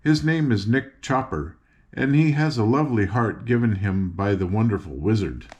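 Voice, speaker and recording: A man's voice: lowish voice, lively intonation, moderate pace, a little mellow, close to an everyday voice. An older man voicing a cheerful young farm girl who patiently explains things as she guides her friends. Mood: chatty.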